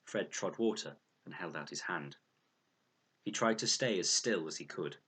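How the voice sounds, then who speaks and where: A man narrates a storybook adventure expressively. His voice sits low, with a bright, forceful tone and a husky grain. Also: moderate pace. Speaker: audiobook reader.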